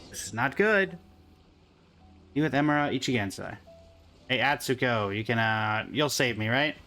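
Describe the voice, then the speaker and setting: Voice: medium pitch, lively intonation, moderate pace, fairly bright tone, faint music behind. A streamer giving casual, muttered, wry reaction commentary while playing a game.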